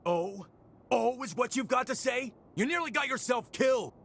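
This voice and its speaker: A man's voice: very high-pitched, lively intonation, moderate pace, vocal fry, fairly bright tone, heavily acted. An actor playing a brash but friendly young male fighter in a video game cutscene, with a casual, cocky way of talking. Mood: exasperated.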